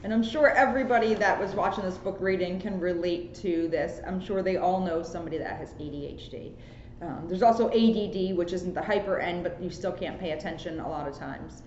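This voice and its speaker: A woman's voice: on the low side, brisk, darkish tone. A woman, a police officer co-hosting a children's reading, giving casual, supportive commentary. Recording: echoey room, muffled, faint noise.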